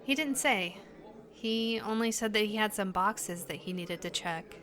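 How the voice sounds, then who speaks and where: A woman's voice: medium pitch, unhurried, a bit bright, lightly acted. An actress playing an uneasy but determined young woman in an audio drama, speaking in a hesitant, earnest, questioning way. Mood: puzzled. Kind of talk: naturalistic audio drama dialogue.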